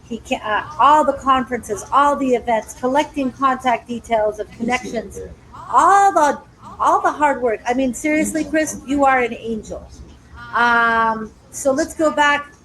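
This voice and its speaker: A woman's voice: high-pitched, a little slow, fairly bright tone, poor audio. A woman hosting a live talk show, upbeat and chatty, speaking directly to her studio and online audience.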